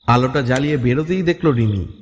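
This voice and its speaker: A man's voice: medium pitch, fast, heavy background noise. A man narrating a scripted horror story as a dramatic storyteller. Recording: long reverb.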